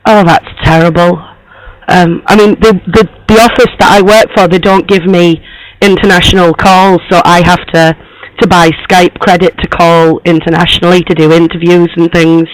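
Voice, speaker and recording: A man putting on the voice of a young woman magazine journalist, hoarse, rambling with lots of fillers on a prank call. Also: high voice, level tone, normal speed, no room sound, close to an everyday voice, rough recording. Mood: friendly, chatty. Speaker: prank caller posing as interviewer.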